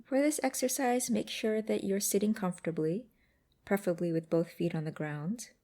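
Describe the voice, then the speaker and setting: A woman guiding a mindfulness exercise in a gentle, unhurried, instructive way with pauses between phrases. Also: mid-range voice. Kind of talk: guided meditation narration.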